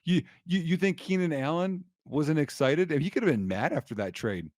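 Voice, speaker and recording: A man co-hosting a casual sports podcast, hyped and quick to agree, often restarting his sentences. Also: on the high side, expressive, a little mellow, dry recording, clean studio sound. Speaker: podcast co-host.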